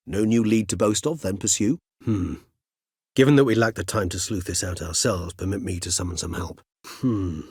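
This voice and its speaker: Game role: elderly vampire gentleman-scholar. Measured, bookish, gently weary; a touch husky, recorded close and dry. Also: on the low side, no echo. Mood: measured, pensive. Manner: measured, courtly, bookish phrasing with thoughtful hums. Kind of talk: video game character dialogue.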